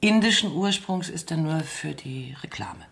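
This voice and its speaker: An actress in a German radio play voicing a seductive, mocking dancer accused of espionage, theatrical and ironic, sometimes dropping into breathy murmurs. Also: female voice, sits low, sing-song, normal speed, fairly bright tone, heavily acted. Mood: playful, ironic.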